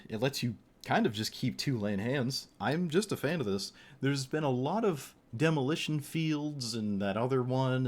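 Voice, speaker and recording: A man giving rambling, opinionated, casual game commentary as a streamer, his voice a little worn from talking. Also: medium pitch. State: voice getting tired, losing it. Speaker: streamer reviewing card game decks.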